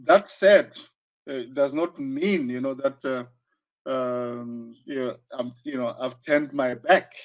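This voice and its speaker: A sixty-year-old man with a southern African accent, reflecting in conversation as an interview guest, halting and often repeating himself with frequent "you know". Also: medium pitch. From Zambia, born in South Africa. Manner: halting, searching delivery with frequent repetitions and 'you know'. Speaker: interview guest, karate teacher.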